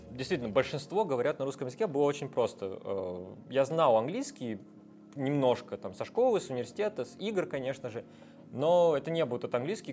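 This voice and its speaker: A man's voice: medium pitch, darkish tone. A man guest in a casual interview, explaining technical ideas at length with frequent hesitation sounds. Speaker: guest, software developer.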